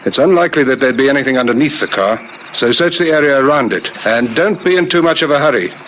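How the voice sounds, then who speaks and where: An actor playing a brisk, methodical police lieutenant in a vintage radio detective drama, questioning in a clipped, authoritative but courteous way. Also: male voice, mid-range voice, animated, a bit bright, close to an everyday voice, low-quality recording. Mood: brisk, businesslike. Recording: old radio broadcast with background noise.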